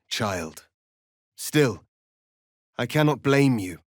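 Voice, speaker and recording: A stern, defiant warrior prince of an alien people , voiced by a male actor for a fantasy video game. He speaks slowly and formally, with solemn authority in a mid-pitched male voice. The sound is dry, free of room echo. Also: natural voice. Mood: stern, bitter. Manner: formal, measured, commanding, grave delivery. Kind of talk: dramatic fantasy game dialogue.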